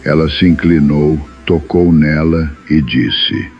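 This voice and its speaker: A man's voice: rumbling low, steady tone, takes it slowly, muffled recording, soft background music. A man reading a scripted first-person account as a dramatic storyteller.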